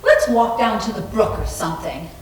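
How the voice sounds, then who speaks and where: A woman's voice: medium pitch, huge pitch swings, normal speed. Woman acting a restless young woman, echoey. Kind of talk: readers theater acting and narration. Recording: very echoey room, far from mic, faint noise.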